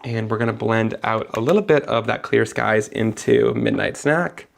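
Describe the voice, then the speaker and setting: A man's voice: lowish voice, moderate pace, gravelly, slight background noise. A young man, sniffly after being sick, chatting enthusiastically as he narrates each step of a live card-making tutorial.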